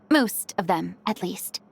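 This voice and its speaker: A woman's voice: high voice, no room sound, full character voice. A woman playing a poised, friendly but guarded young lady of the film world in a video game.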